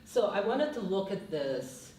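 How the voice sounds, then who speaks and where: A woman's voice: mid-range voice, sing-song, measured pace, warm tone, a little hiss or hum. Guyanese-accented woman professor, thoughtful panelist, distant mic in a very echoey room. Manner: thoughtful, often adds "right?". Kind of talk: panel discussion talk.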